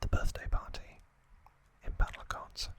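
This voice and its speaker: Slow whispering male ASMR host. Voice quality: whispered, breathy and unvoiced. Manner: soft, unhurried, short phrases with pauses. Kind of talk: whispered ASMR recap narration.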